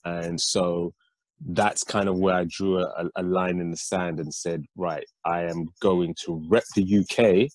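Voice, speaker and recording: A young adult British man, a rapper and educator, telling his story in a relaxed, reflective interview. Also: low voice, unhurried, dry recording. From United Kingdom and Nigeria. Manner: reflective, steady storytelling with frequent ums.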